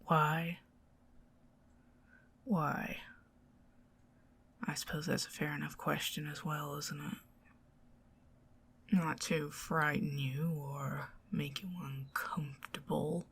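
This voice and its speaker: A woman with a Southern US accent voicing a possessive, scheming goblin queen in a soft, teasing ASMR whisper. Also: low voice, level tone, takes it slowly, pauses often, slightly rough, heavily acted. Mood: coaxing whisper. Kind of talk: ASMR roleplay.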